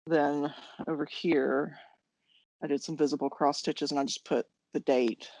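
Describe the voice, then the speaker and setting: A woman's voice: deep voice, fairly even intonation, measured pace, a little mellow, dry recording, low-quality recording. An American woman chatting casually as co-host of a knitting podcast.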